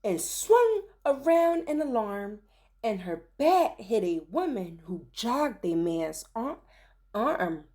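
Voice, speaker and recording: Casting: woman for children's read-aloud, theatrical and animated in pitch, extremely unhurried delivery. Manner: theatrical, deliberate phrasing. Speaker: storyteller, teacher reading aloud. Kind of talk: expressive rhyming children's story read-aloud.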